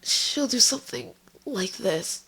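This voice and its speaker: A woman voicing a guarded young female pony in a fan story reading, blunt, deadpan and husky. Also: on the high side, slow, heavily acted, low-quality recording. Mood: hesitant, guarded. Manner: terse, deadpan, matter-of-fact.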